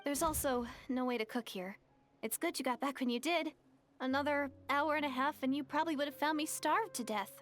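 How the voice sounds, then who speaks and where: A woman's voice: high voice, fairly quick, fairly bright tone, faint music behind. A woman voicing a timid, scared young woman in a video game, speaking hesitantly and apologetically. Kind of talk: game voice acting.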